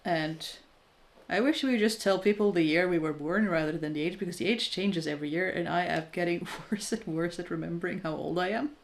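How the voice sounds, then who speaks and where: Candid woman in her thirties, low-toned. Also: fairly quick. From Norway. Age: 30s. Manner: thoughtful, candid, self-deprecating with frequent restarts. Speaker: crafting streamer chatting with viewers. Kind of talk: casual live chat.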